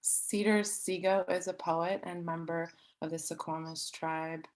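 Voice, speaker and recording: A woman hosting an event, reading out a prepared, poetic introduction in a measured, deliberate and formal way. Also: on the low side, steady tone. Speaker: event host introducing a speaker.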